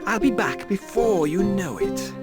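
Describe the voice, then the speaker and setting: A woman's voice: mid-range voice, animated, a little slow, loud music behind the voice, lightly acted. A woman playing a scheming, self-assured villain with a drawling, condescending manner in a video game. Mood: falsely soothing. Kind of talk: video game cutscene acting.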